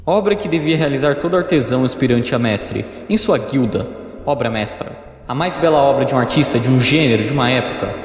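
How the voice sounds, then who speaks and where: A man's voice: medium pitch, expressive, a little fast, husky, faint music behind. A young Brazilian man reading an informal scripted video review, irreverent and sweary in his delivery. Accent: Brazilian Portuguese. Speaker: video essayist reviewing games.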